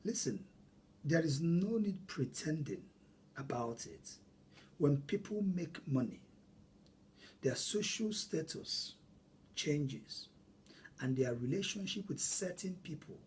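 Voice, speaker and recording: A man's voice: fairly high pitch, expressive, slow, pauses often, a little hiss or hum. A man from Nigeria with a Nigerian accent, a native Igbo speaker, hosting an earnest, emphatic solo talk on money. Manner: earnest, emphatic, repeats phrases for stress. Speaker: host.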